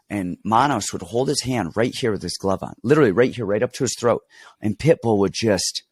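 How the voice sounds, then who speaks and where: A man's voice: lowish voice, breathy edge, no echo. A man co-hosting a casual fight betting chat, congested and rambling, with many restarts as he tells stories. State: congested, getting over an illness. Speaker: podcast co-host and fight coach.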